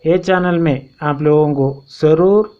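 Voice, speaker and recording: A man giving an informal step-by-step repair tutorial, explaining in a halting, repetitive way. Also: fairly high pitch, steady tone. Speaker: phone repair instructor and channel host. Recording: dull lo-fi home recording.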